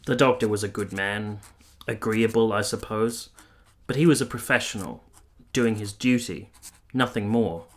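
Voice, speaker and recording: A man playing an ardent, self-regarding young army officer, narrating a period radio drama in a formal, measured, literary manner. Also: on the low side, natural voice. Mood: earnest. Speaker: narrator and lead character.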